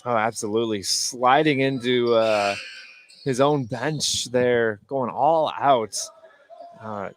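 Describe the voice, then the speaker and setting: A man working as color commentator on a live basketball broadcast, giving measured analysis with pauses mid-sentence. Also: mid-range voice. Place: indoor high school gym. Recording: live broadcast with faint gym noise.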